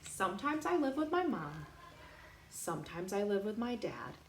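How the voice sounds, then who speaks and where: A woman reading a picture book aloud in a playful, expressive way, pausing to put questions directly to young children. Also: mid-range voice. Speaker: storytime reader for young children. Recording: roomy echo, faint background noise.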